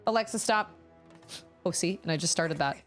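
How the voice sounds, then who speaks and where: A woman's voice: on the low side, moderate pace, faint music behind. A woman in her thirties chatting casually and rambling while she plays a video game, often breaking off to exclaim at the screen. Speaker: streamer.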